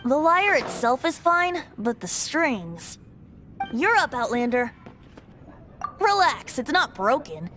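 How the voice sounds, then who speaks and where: Boyish young bard for a game, high lilting voice, breezy and theatrical, faint music. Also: female voice, sing-song, slow, long pauses, pushed and bright, full character voice. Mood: playful. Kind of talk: video game voice acting.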